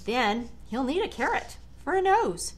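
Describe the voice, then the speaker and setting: A woman's voice: sits high, big pitch swings, measured pace. A middle-aged American woman reading a children's storybook aloud, expressively, with chatty asides to young listeners. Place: large living room, indoors. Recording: clear background room noise.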